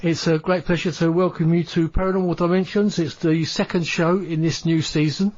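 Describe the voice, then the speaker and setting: A man from London with a London accent, hosting a paranormal podcast in a relaxed, chatty way, laughing and repeating himself. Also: fairly high pitch, brisk, dull audio. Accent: London English. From London, England. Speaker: podcast host. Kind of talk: casual interview chat.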